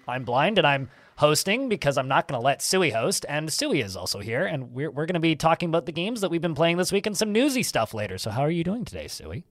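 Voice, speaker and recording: A man's voice: fairly high pitch, big pitch swings, quick pace, few pauses, no room sound. A man hosting a podcast in casual banter, teasing in a dry, mock-serious way. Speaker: podcast host.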